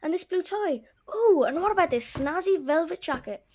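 A headstrong young girl, speaks in a very high, lively voice. She is emphatic and friendly, with big swings of pitch, in the manner of a children's audio drama. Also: normal speed, a little hiss or hum. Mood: friendly, bossy. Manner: expressive, emphatic.

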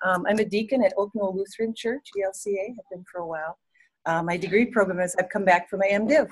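A woman's voice: mid-range voice, fast talker, slightly rough. A middle-aged woman, a deacon back in seminary, introducing herself matter-of-factly in a classroom. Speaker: deacon and student.

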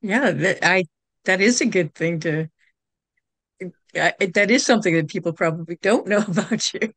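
An older woman interviewing an author over a video call from a room lined with bookshelves, friendly and quick to laugh. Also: fairly low pitch, fairly bright tone, no room sound. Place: home room full of bookshelves.

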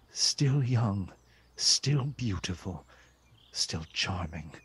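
A male storyteller narrating a gothic radio drama. His lowish voice is very husky and gravelly. He takes his time, theatrically savoring the words. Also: exaggerated voice.

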